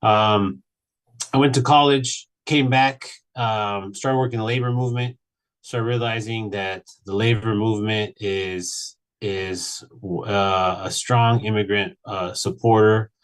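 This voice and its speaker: Man, late thirties, from Mexico, earnest, halting testimony, slightly low voice. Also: steady tone. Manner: earnest, halting with many restarts. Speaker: panel speaker. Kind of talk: personal testimony on a panel. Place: virtual event.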